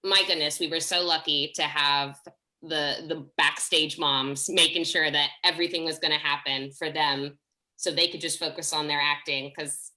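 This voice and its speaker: A woman's voice: low-pitched, steady tone, moderate pace, bright, forceful tone. A woman speaking as a play director in a casual panel chat, effusive and emphatic.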